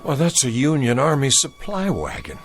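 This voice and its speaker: An actor playing a blunt, skeptical Confederate soldier with a Southern American drawl in an audio drama. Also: male voice, mid-range voice, expressive, moderate pace, faint music behind, close to an everyday voice. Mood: amazed, suspicious. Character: gruff, skeptical Confederate soldier, younger man. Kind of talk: old-time radio-style drama acting.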